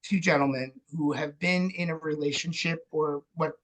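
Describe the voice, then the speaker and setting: A man's voice: on the high side, fairly even intonation, normal speed, low-quality recording. A man talking candidly and casually as an interview guest, acting out little quoted asides. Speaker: interview guest, theatre director and playwright.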